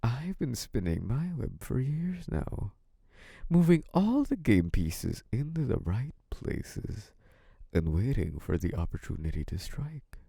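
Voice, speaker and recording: Man acting a slow, menacing young mafia boss. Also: medium pitch, very animated, creaky, breathy edge, dry recording, clean studio sound, full character voice. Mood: smug, sinister. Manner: menacing, condescending, theatrically drawn-out delivery with dark chuckles. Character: possessive, scheming young male mafia boss, gloating. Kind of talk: theatrical scripted audio roleplay monologue.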